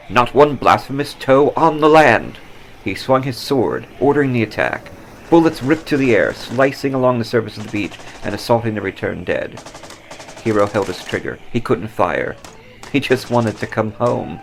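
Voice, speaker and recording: A man narrating a scripted story dramatically, with solemn, deliberate emphasis. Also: on the low side, vocal fry, slight background noise, low-quality recording. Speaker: author reading own story.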